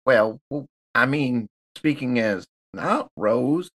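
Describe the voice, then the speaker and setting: A man's voice: medium pitch, expressive, slow pace, fairly bright tone. A man in casual, joking conversation as a player in a tabletop story game. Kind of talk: casual joking group chat.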